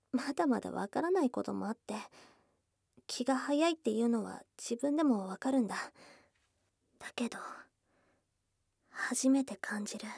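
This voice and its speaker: A woman voicing a shy, tomboyish young goblin girl in an intimate ASMR voice drama, soft and breathy, often dropping to a whisper, close to the microphone. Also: a little high, no room sound, exaggerated voice. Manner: blunt tomboyish speech, tender and hesitant. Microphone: close, dry studio microphone.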